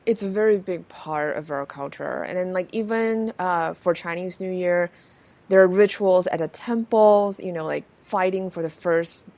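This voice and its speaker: Low-voiced woman from Taiwan, gravelly. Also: normal speed. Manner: relaxed, many fillers and restarts. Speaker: podcast host. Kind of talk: casual conversation.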